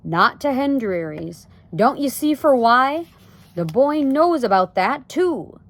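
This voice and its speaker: A grave, steady father from a storybook, played by a woman reader. He is firm and cautious, speaking in folksy, ungrammatical phrases with lively pitch movement. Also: exaggerated voice. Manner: folksy ungrammatical speech, measured. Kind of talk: storybook read-aloud.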